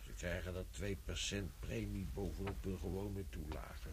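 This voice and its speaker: Deep-voiced, stubborn aging labourer, noisy recording. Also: male voice, fairly even intonation, normal speed. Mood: gossipy. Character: aging male corpse-carrier, chatty, stubborn, touchy. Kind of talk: Dutch radio play acting. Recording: noisy old radio play recording.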